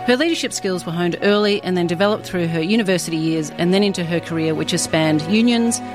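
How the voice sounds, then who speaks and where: A woman hosting a radio interview show, reading a measured scripted introduction before chatting with her guest. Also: lowish voice, over music. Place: radio studio.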